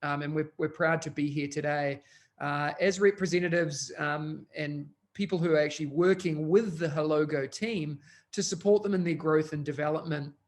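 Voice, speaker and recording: A man's voice: on the high side, fairly even intonation, normal speed. A man, an education technology consultant, hosting a conference session and talking with many uh and um fillers. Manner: fluent but full of uh and um fillers. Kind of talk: conference presentation.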